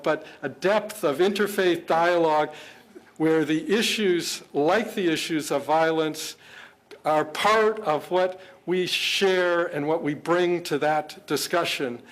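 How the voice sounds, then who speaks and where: An older man giving a public speech to an interfaith gathering. He talks earnestly and haltingly, often repeating words as he searches for the next phrase. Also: a little high. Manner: earnest, measured. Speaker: government official speaking at an interfaith event. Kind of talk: public speech with prepared remarks.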